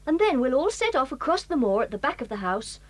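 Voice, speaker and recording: A woman's voice: sits high, sing-song, fast, dry recording. A woman voicing a take-charge schoolboy leader. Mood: planning.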